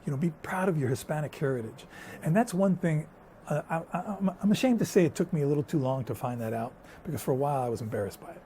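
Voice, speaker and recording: Fast-talking man telling stories. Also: on the high side, a little hiss or hum. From United States. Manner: storytelling, animated and talkative. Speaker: guest. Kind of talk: casual conversation.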